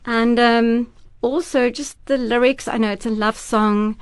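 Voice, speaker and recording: A woman's voice: on the high side, fairly even intonation, measured pace, clean tone, no room sound. A woman whose first language is Afrikaans, speaking earnestly and thoughtfully as an actress and producer in a radio interview. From South Africa. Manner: earnest, thoughtful, some restarts.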